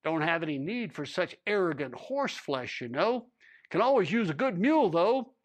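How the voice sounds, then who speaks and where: A man's voice: high-pitched, very animated, moderate pace. A man voicing a sly old-west male rancher with a folksy who dodges questions. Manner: folksy, dry, evasive drawl.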